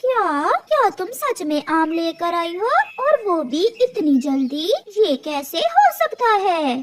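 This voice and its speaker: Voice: very high-pitched, big pitch swings, takes it slowly, background noise, full character voice. कलाकार की आवाज़ में रौबदार कव्वी मालकिन, बहुत ऊँचा सुर. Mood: astonished. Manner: commanding, curt. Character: bossy, hard-hearted female crow mistress and mother.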